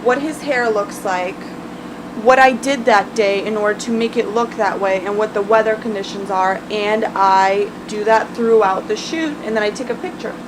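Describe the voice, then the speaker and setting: A woman's voice: medium pitch, normal speed, noisy background. A Russian-born woman, a film makeup artist, talking casually and confidently in an interview, often restarting her sentences.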